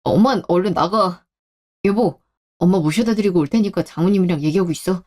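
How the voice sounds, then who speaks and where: A woman's voice: lowish voice, brisk, a little mellow, natural voice. A woman playing a protective young husband, earnest and firm, in a radio drama. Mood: exasperated. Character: protective young husband, caring but exasperated with his mother. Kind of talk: Korean radio drama acting.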